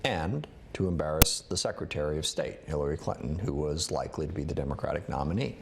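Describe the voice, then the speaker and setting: A man's voice: very deep voice, lively intonation, fast talker, vocal fry. A man who is a politician, speaking in a press interview soundbite with a noisy recording. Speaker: congressional committee member.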